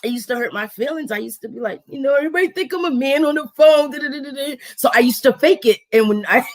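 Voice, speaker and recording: Raspy deep-voiced lady hosting a live stream, chatty and animated. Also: fast. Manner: animated, slangy, acts out quotes in a higher voice. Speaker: host. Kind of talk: casual live-stream chat.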